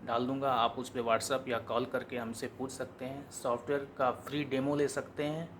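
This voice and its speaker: An Indian man giving an informal step-by-step software tutorial, explaining as he goes and repeating his instructions. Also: medium pitch, flat delivery, normal speed, slight background noise. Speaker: software demonstrator.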